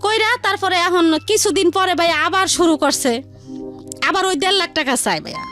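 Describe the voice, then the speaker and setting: Woman, high-pitched voice, Bangladeshi colloquial Bengali, rambling personal storytelling, faint music behind. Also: normal speed, a bit bright. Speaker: guest telling her life story. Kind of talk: personal storytelling in a radio interview.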